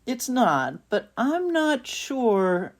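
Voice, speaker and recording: A woman's voice: a little high, big pitch swings, drawn-out words, heavily acted. A woman playing a skeptical, weary householder in an audio play. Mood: skeptical, exasperated.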